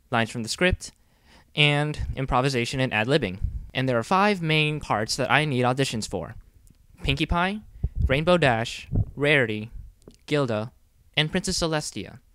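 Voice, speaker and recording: A man guides listeners through an audition process in a casual tutorial style. His pitch sits in the middle and the sound is dry, with little room on it. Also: a bit bright, clean tone, no room sound. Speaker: series creator giving audition instructions. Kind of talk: casual scripted tutorial narration.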